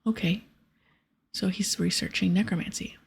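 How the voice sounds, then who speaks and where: A woman voicing a skeptical, matter-of-fact wizard guild official from a fantasy game in a breathy, whispery voice. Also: mid-range voice, fairly even intonation, moderate pace, slight background noise, natural voice. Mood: doubtful.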